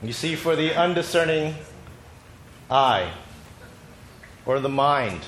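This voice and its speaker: A man preaching a sermon in short phrases, pausing for an interpreter, while battling a cold. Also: fairly high pitch, animated, a bit bright, a little hiss or hum. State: has a cold. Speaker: pastor. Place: church sanctuary. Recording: echoey church hall with faint ambience.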